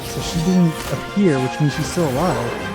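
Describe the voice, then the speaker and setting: A man's voice: a little high, moderate pace, loud music behind the voice. A man reacting casually to a video game as a let's player, chatting over it.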